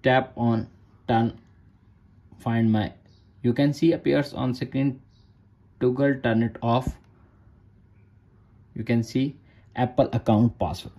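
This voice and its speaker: A man's voice: medium pitch, fairly even intonation, takes it slowly, pauses often, mellow. A man narrating a phone tutorial in short, repeated step-by-step instructions in non-native English. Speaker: tech tutorial host.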